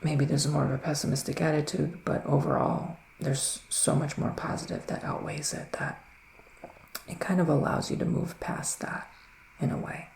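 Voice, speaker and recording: A man speaking softly in an ASMR roleplay as a psychic witch, often near a whisper, musing slowly with little hums. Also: a little high, level tone, gravelly, roomy sound, a little hiss or hum. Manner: slow, hesitant, musing, with murmured hums. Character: gentle, mystical male witch giving readings. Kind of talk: soft-spoken ASMR roleplay, partly whispered.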